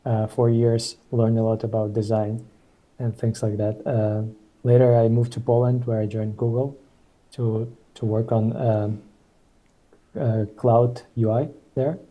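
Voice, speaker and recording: A man's voice: medium pitch, flat delivery, measured pace, dull audio. A man in his thirties from Ukraine, speaking as a guest on a video call in a hesitant, casual way with many fillers and restarts. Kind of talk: casual webinar conversation.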